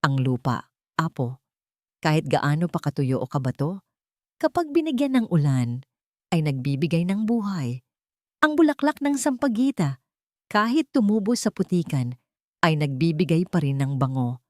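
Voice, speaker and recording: A woman voicing a calm, wise, gentle old grandmother in a story, speaking softly and soothingly. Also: low voice, dry recording, studio quality. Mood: wise, reassuring. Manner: soft, slow, soothing delivery.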